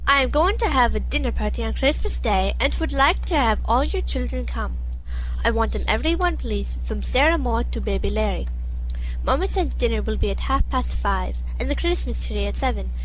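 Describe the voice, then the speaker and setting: A woman playing a proud, excited mother in a family audio drama. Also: a little high, normal speed, natural voice, rough recording. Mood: proud, careful. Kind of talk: family audio drama acting, reading a letter aloud.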